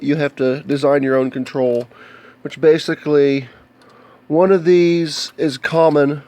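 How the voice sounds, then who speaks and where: An American man talking casually and off the cuff as he shows electronics, explaining in a halting, plain-spoken way. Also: mid-range voice, darkish tone. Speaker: hobbyist video maker showing electronics. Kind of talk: casual unscripted show-and-tell. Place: indoors, cluttered home workshop.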